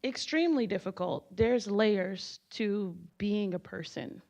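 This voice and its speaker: A woman's voice: medium pitch, takes it slowly, a little mellow. A woman whose first language is Haitian Creole, speaking earnestly and reflectively as a panelist. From United States, Haitian family. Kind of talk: panel discussion. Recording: muffled lo-fi event recording.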